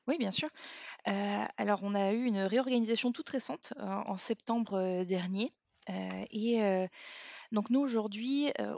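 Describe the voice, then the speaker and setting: Woman in her thirties, from the south of France, casual podcast guest, lots of fillers, middle pitch, dry close sound. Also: no room sound. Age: 30s. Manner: chatty, many fillers and restarts. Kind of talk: casual interview talk.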